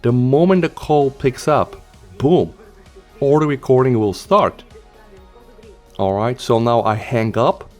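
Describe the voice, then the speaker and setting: A man hosts a casual tech tutorial in a mid-pitched voice. He guides the listener step by step in an instructional manner, putting stress on the important points. Music plays faintly behind him. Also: slow, long pauses, a little mellow. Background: background music.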